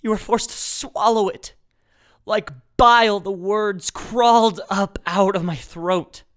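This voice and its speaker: An actor playing a traumatized, bitter survivor of a hostage ordeal, narrating intensely in the first person in an audio drama. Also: male voice, high-pitched, steady tone, slow pace, fairly bright tone. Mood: haunted, intense.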